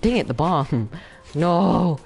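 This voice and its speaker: A young man chatting casually while he streams a fighting game, excitable, with sudden yelps and laughs. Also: a little high, takes it slowly, gravelly, soft background music. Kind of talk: casual gameplay commentary. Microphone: no camera, desk mic.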